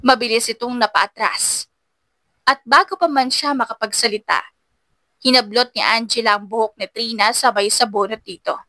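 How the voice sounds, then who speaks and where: Woman reading a dramatic audiobook, bright highish voice, dry close sound. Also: steady tone, moderate pace, dry recording. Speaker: audiobook narrator. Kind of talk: dramatic scripted story narration.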